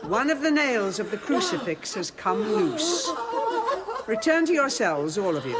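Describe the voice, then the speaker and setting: An actress playing a shrewd, composed abbess in a radio drama, speaking with husky, measured authority and dry irony. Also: female voice, mid-range voice, sing-song, heavy background noise. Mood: commanding, urgent. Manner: authoritative, measured, dryly ironic. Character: commanding, shrewd, composed convent abbess of mature years.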